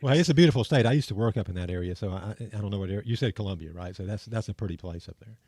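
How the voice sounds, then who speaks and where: Fast-talking man hosting. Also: lowish voice, a little mellow, slightly rough, dry recording. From Georgia. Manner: enthusiastic, laughs often. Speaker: podcast co-host. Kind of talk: casual interview chat. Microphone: video call.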